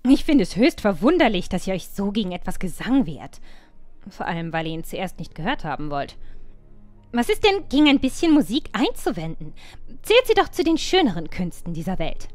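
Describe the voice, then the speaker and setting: A woman's voice: mid-range voice, very animated, normal speed, no echo, faint music behind, lightly acted. A woman acting a spirited, outspoken young noble fiancée with formal speech in a fantasy audio drama. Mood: indignant, insistent. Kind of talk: fan-made German fantasy audio drama.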